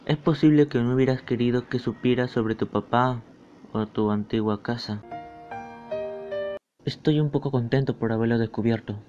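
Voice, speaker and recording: A man's voice: mid-range voice, normal speed, close to an everyday voice. A man playing an earnest teenage schoolboy in a fan-dubbed anime. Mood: soft, reflective. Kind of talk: anime fandub acting. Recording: dull lo-fi audio with music behind. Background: music.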